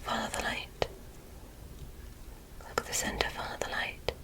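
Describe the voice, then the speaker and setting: A woman playing a curious, gently condescending alien medical examiner in an ASMR roleplay, speaking in a soft, breathy, measured whisper with clinical instructions. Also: lightly acted. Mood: calm, clinical. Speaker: ASMR performer.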